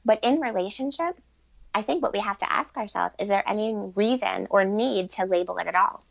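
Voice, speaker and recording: Woman, mid-pitched, vocal fry, earnest explainer, emphatic repetition, dry lo-fi recording. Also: fairly quick, dry recording. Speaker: podcast guest, author and relationship expert. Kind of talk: conversational spiritual interview talk.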